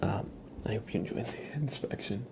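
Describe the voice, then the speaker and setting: A man hosting an ASMR livestream, speaking in soft whispers with a gentle, playful manner. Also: on the low side, moderate pace, background noise. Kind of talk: ASMR, whispered roleplay and chat with viewers.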